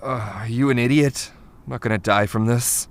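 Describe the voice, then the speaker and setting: Man playing weary, creaky-voiced guardian. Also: mid-range voice, moderate pace, natural voice. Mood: weary, reassuring. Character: weary, sick young man, a gruff protective guardian. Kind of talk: anime-style manga dub acting.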